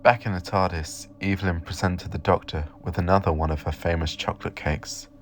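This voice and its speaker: Male story reader, low pitch, scripted narration, audio short story. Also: steady tone, brisk, heavy background noise.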